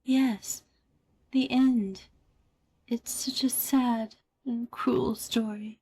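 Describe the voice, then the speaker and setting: A woman voicing a wistful, innocent young girl in a video game, soft and breathy. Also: on the high side, fairly even intonation, drawn-out words, exaggerated voice, poor audio. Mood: wistful, pleading.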